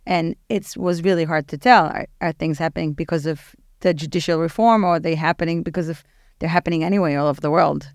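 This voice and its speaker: A woman's voice: low-pitched, fairly even intonation, fast, no room sound, clean studio sound. A woman, speaking as a podcast guest in a candid, chatty way with many fillers. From Israel. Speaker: podcast guest, nonprofit executive director. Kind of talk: casual interview talk.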